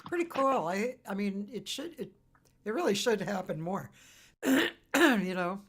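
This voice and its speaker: A woman's voice: medium pitch, lively intonation, a little slow, fairly bright tone, slightly rough. A woman hosting a casual interview chat, speaking in hesitant phrases that often trail off. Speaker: interview host, musician.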